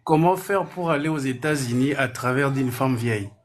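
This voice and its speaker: A young man calling in, hesitant and flattering, repeating himself as he asks for advice. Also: medium pitch, moderate pace. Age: young adult. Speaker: caller.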